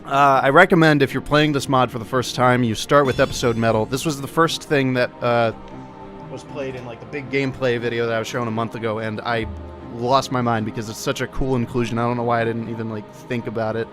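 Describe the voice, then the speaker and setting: A man hosting a live game stream, chatting casually and relaxed, with plenty of fillers. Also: mid-range voice, quick pace, over music. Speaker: streamer hosting a mod playthrough.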